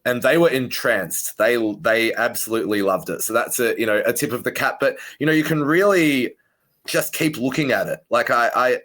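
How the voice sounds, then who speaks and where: A man's voice: mid-range voice, normal speed, a bit bright. Chatty man hosting with rambling enthusiasm. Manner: enthusiastic, rambling, many "like" fillers. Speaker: interview host. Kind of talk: casual interview chat.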